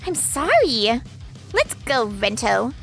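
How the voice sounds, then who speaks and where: A woman's voice: on the high side, huge pitch swings, slow pace, vocal fry, a bit bright, faint music behind, exaggerated voice. A woman playing a self-centred, breezy young fiancée in a manga dub. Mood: brushing it off.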